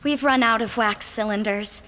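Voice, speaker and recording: An actress playing a deferential young apprentice in an audio drama, speaking hesitantly and respectfully. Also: female voice, fairly high pitch, normal speed, pushed and bright, slight background noise.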